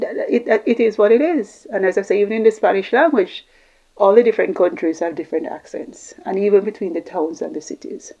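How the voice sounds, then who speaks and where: A woman's voice: medium pitch, mellow, rough recording. A woman giving an informal explanatory talk, reflective and repeating phrases for emphasis. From Jamaica, Caribbean. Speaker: host giving communication tips.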